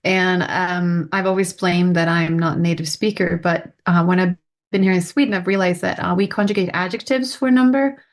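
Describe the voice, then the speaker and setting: A woman's voice: fairly low pitch, fairly even intonation, normal speed. A woman linguist co-hosting a podcast, chatting in a wry, self-mocking way. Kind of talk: casual chat.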